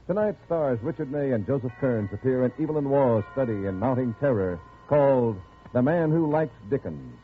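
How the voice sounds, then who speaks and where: A man's voice: medium pitch, animated, normal speed, few pauses, faint music behind. A man announcing a 1940s radio programme in a formal, dramatic manner. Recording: tinny old radio broadcast.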